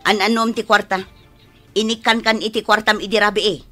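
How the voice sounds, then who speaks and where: A woman's voice: mid-range voice, level tone, brisk, pushed and bright, soft background music, natural voice. A woman playing an exasperated, nosy, scornful middle-aged mother in a radio drama, with a nagging manner. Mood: exasperated, scolding.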